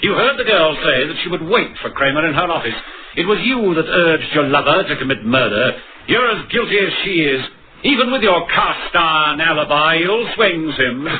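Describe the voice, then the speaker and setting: A stern police inspector from an old radio mystery acted by a man, coolly confident and probing. His fairly high voice swings widely in pitch. The broadcast sounds muffled. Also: lively intonation, normal speed, crowd noise, close to an everyday voice. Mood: accusing. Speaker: lead actor and narrator. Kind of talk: old-time radio crime drama acting.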